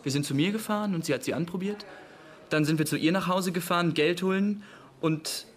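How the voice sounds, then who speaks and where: An actor playing a defensive young small-time hustler in a radio crime drama, evasive, streetwise and protesting. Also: male voice, high voice, brisk, lightly acted. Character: defensive, cocky 25-year-old male small-time hustler. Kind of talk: naturalistic radio play acting.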